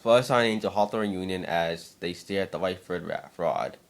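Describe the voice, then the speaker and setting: Low-voiced man narrating a story. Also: brisk, gravelly. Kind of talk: dramatic reading of fan fiction, scripted narration.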